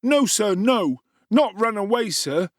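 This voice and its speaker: A man's voice: very animated, slow, no echo, heavily acted. A man voicing a sly teenage workhouse boy who wails, whines and pleads. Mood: wailing. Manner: wailing, shrill and pleading. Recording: dry close studio sound.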